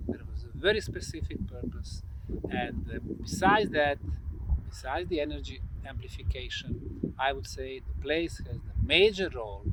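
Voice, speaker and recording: A man presents on site as a researcher, explaining in an unscripted monologue with emphatic, deliberate phrasing and pauses. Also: a little high. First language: Bosnian. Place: outdoors at an open monument site. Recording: outdoor ambient noise, urban or vehicle sounds.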